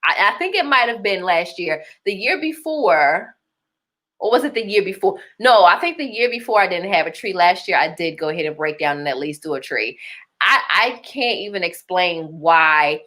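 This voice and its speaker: A woman's voice: fairly low pitch, expressive, normal speed, bright, forceful tone. A woman from Baltimore with a Baltimore accent, hosting a live stream in an upbeat, chatty way, laughing often and reading out viewer comments. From Baltimore, Maryland. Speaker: host.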